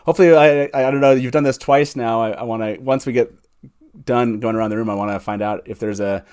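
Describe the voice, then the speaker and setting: A man's voice: medium pitch, fairly even intonation, fast, a little mellow, clear, resonant tone. Guy hosting a casual chat, kinda rambly, repeats stuff, chuckly. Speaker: host. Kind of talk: casual chat, going round the guests.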